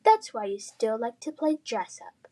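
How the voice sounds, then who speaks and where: A woman's voice: a little high, sing-song, unhurried, mellow. A woman voicing a cruel, mocking bully boy in a children's fantasy storybook. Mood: sneering, taunting. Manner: mocking, taunting.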